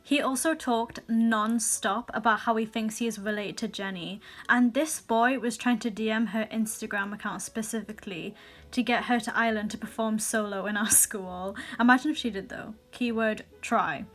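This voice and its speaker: A young woman reading viewer stories aloud in a casual vlog, with sassy asides and quick restarts. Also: a little high, steady tone, faint music behind. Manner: chatty, sassy asides, frequent laughs and restarts. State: recently sick.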